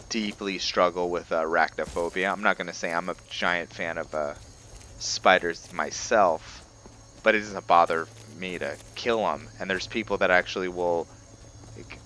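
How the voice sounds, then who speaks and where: Deep-voiced man doing casual game commentary. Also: bright, forceful tone, a little hiss or hum. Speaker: gaming video host.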